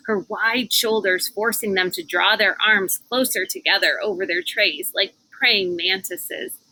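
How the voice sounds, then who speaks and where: A woman's voice: mid-range voice, unhurried, bright, forceful tone, low-quality recording. A woman reading a children's book aloud outdoors on a porch, adding casual asides as she goes. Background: cicadas and air conditioner hum.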